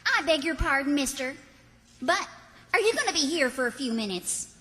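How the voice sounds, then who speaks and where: A woman acting a courteous, hurried young mother who coaxes politely in a radio comedy. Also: sits high, sing-song, a bit bright. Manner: courteous, coaxing. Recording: hall reverb, distant stage sound.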